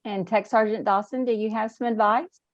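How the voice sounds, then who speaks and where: A woman hosts a formal interview with polite, scripted questions. Her medium-pitched voice comes through dull and lo-fi, with very little room echo. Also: fairly even intonation, normal speed. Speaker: interview host. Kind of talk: scripted hosting. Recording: dull, muffled, lo-fi audio.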